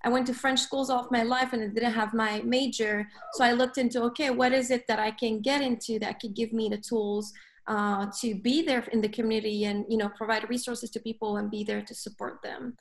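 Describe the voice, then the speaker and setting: Earnest woman from Lebanon, slightly high voice. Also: little pitch movement, brisk. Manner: earnest, thoughtful, many fillers. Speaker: guest, clinical coordinator. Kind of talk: casual podcast interview.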